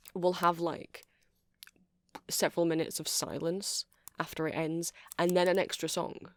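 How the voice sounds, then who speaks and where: A woman's voice: lowish voice, no echo, clean studio sound. A woman giving a rambling, chatty ASMR demonstration, explaining things step by step. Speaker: ASMR creator.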